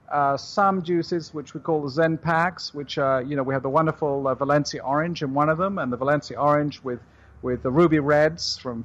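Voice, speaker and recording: A middle-aged man with a British accent talks in a measured, even way, pitched a little high for a man. He sounds like a guest answering questions on a radio show, and the audio comes through dull and lo-fi. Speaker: company CEO guest.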